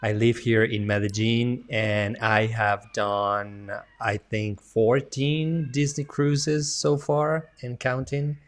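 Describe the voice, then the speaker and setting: Slow-talking Colombian man. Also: medium pitch. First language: Spanish. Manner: relaxed, hesitant, with fillers. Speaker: travel agent and website editor. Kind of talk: casual panel chat.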